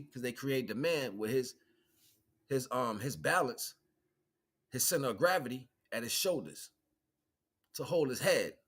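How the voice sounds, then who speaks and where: A man's voice: medium pitch, fairly bright tone. A man giving an improvised spiritual talk in colloquial language, repeating phrases for emphasis and checking in with rhetorical questions. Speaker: spiritual teacher, livestream host. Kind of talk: improvised spiritual lecture, streamed.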